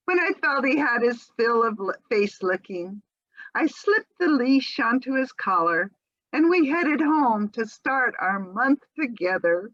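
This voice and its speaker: Actress doing lovesick woman monologue, slow n breathless, theatrical, kinda dull lo-fi audio. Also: on the high side, big pitch swings, fairly bright tone, dry recording, heavily acted. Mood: rapturous. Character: lovesick woman nervously reunited with her beloved dog.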